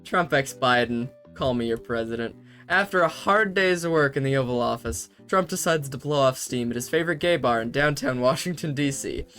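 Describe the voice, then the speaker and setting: A man's voice: mid-range voice, moderate pace, a bit bright, faint music behind. A young man hosting a comedy video, reading fanfiction aloud with sarcastic asides and frequent laughter. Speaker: host.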